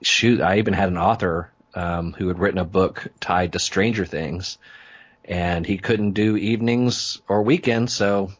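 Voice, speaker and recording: A man's voice: sits low, a little slow. A man who hosts podcasts, chatting informally and telling anecdotes in a casual interview. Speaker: podcast host being interviewed.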